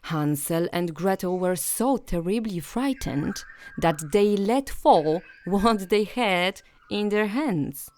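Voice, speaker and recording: A woman narrating a bedtime fairy tale as a storyteller, in a gentle, soothing and expressive way. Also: mid-range voice, slow pace, no echo.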